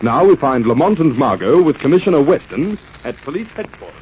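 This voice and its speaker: A man's voice: a little high, sing-song, a little fast, darkish tone, a little hiss or hum. A man narrating a 1940s radio drama as its announcer, in a dramatic scripted style. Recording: muffled vintage radio broadcast.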